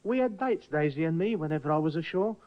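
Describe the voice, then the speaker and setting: An actor playing a frightened little ship's cook, deferential and stammering, in an old radio drama. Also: male voice, a little high, expressive, fast talker, lightly acted. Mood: nervous. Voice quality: deferential, stammering, keeps saying sir. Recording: muffled old radio broadcast.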